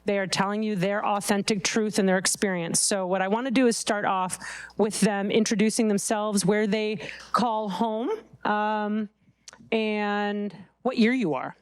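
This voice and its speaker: A woman moderating a student panel for prospective students. Her voice is smooth and clear, and her pitch is middling. She gives encouraging prompts. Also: fairly even intonation, unhurried. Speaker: dean for admissions, panel moderator.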